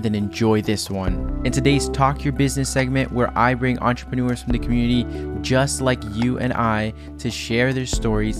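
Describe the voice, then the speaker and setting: Upbeat male podcast host. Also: mid-range voice. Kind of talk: scripted intro, then casual interview chat.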